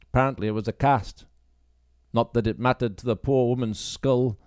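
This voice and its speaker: A male narrator plays a wartime policeman in an audiobook mystery. He is brusque, matter-of-fact and quick to dismiss, in a dry, close recording. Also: mid-range voice, fairly even intonation, a little mellow, smooth, no room sound, close to an everyday voice.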